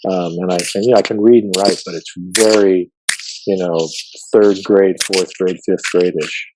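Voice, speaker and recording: Low-voiced chatty man interviewing a guest. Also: fairly even intonation, moderate pace, noisy background. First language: Farsi. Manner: chatty, joking, with frequent fillers and restarts. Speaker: podcast host. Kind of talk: casual interview.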